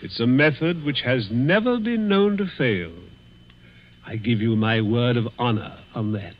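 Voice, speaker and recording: A man's voice: medium pitch, expressive, slight background noise, lightly acted. Older male villain, played by an actor: silky mock affection, hesitant phrasing, genteel menace. Radio-play style, muffled vintage sound. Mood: gloating. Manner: suave, chuckling, mock-affectionate, halting. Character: sly, gloating older stepfather plotting murder, genteel menace. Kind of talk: old-time radio thriller acting.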